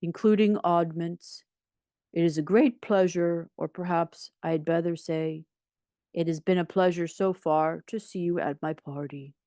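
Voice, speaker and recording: A woman's voice: lowish voice, steady tone, measured pace, mellow, no room sound, clean studio sound, close to an everyday voice. A woman voicing a gloomy, pompous male donkey from a children's storybook, speaking in a ponderous, mournful, self-important way. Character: gloomy, pompous, long-suffering old toy donkey, male.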